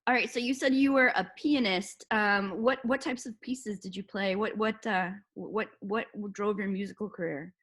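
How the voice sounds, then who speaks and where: A woman interview host with a bright, forward voice at an ordinary pitch. She talks conversationally and feels her way into questions, repeating a word or two before she lands them. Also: fairly even intonation, normal speed, few pauses. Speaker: movement practitioner.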